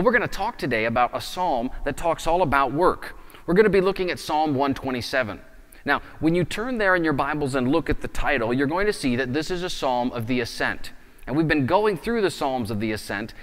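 Conversational preacher with a reverberant sound. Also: male voice, fairly high pitch. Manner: conversational, explaining with open hand gestures. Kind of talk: sermon. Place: indoors in front of a wooden plank wall. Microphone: lapel mic.

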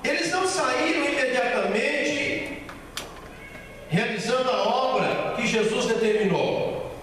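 A man in his thirties with a Brazilian accent, preaching a sermon slowly and emphatically with a handheld mic, repeating key phrases. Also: extremely high pitch, a bit bright, a little hiss or hum. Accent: Brazilian Portuguese. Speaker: pastor. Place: large bare church hall with a small stage. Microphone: handheld mic, filmed by far camera. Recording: echoey hall from far off.

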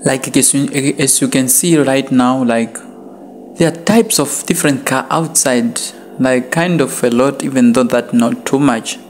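A man's voice: medium pitch, a little slow, slight background noise. A young man from Namibia in his twenties, chatting casually into a handheld phone camera while walking through a shopping mall and car park. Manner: chatty, repeats "like" and "guys", chuckles often. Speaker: vlogger interviewing people. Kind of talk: casual street-interview vlog. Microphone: handheld phone camera at arm's length.

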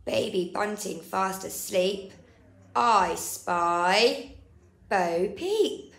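Woman, nursery storyteller, very slow sing-song picture-book reading, recorded in an echoey room. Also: medium pitch, expressive, vocal fry. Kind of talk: picture-book read-aloud for young children. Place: indoor room. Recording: roomy indoor echo.

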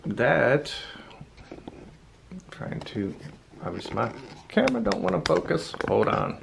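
A man's voice: mid-range voice, very animated, slow, vocal fry, background noise. A man giving a casual, unscripted product demonstration, rambling a little as he thinks aloud. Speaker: product reviewer. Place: indoor room at home.

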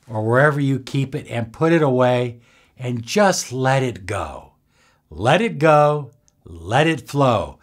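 A man in his sixties giving instructional self-help talk to camera, deliberate and emphatic, pausing between short phrases. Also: medium pitch. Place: small indoor room with bookshelves.

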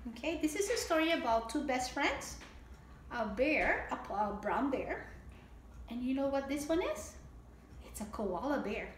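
A woman's voice: high-pitched, sing-song, measured pace. A middle-aged woman hosting story time, reading a picture book aloud expressively and explaining things simply for young children. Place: indoor home room with table. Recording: echoey room with audible background noise.

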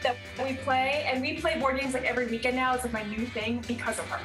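A woman's voice: high-pitched, fairly even intonation, fast talker, echoey room, music in the background. A woman in her thirties from Ohio, a game show contestant, chatting bubbly over a video call from home. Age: 30s.

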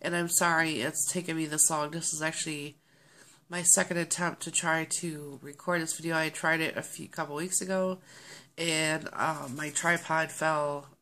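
A woman in her thirties chatting casually to her webcam, sounding nasal and stuffy from a cold, rambling with many ums and pauses. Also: sits low, steady tone, bright, forceful tone, slight background noise. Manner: rambling, friendly, with many ums and throat clearing. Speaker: vlogger. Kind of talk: casual chat to camera. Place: small home office, indoors. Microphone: webcam at desk.

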